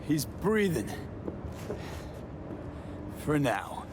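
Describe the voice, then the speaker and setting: A man's voice: a little high, very animated, extremely slow, background noise, full character voice. An actor playing a brash, foul-mouthed young male mercenary in a video game, with an American accent and blunt, slangy delivery. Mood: focused. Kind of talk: cinematic video game acting. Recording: music running behind the voice.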